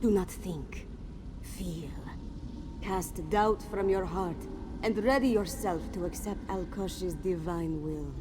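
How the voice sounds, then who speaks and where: A woman's voice: fairly low pitch, slow, over music. A woman playing a grave, mystical cat-woman warrior sage in a fantasy video game, speaking solemnly and cryptically. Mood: solemn, foreboding. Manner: solemn, cryptic, speaks of self in third person.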